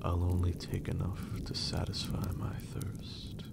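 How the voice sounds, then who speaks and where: A man playing a teasing, possessive vampire master in a breathy, formal, seductive ASMR roleplay. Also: completely flat delivery, full character voice. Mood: calm, menacing. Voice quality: breathy, low, creaky. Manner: slow, deliberate, formal, seductive.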